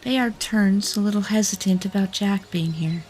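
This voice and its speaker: A woman's voice: mid-range voice, normal speed, a little mellow, slight background noise. Woman narrating a fantasy story. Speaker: narrator and lead actor.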